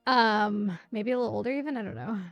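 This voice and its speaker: A young woman chatting casually on a game stream, wry, animated and sweary, close on the mic. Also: medium pitch, quick pace, dry recording, faint music behind. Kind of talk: casual live stream chat while gaming.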